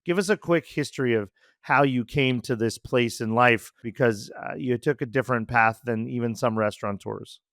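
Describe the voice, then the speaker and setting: A man's voice: mid-range voice, normal speed, a bit bright, dry recording, studio quality. A man hosting an interview podcast, speaking conversationally as he comments and puts questions to his guest.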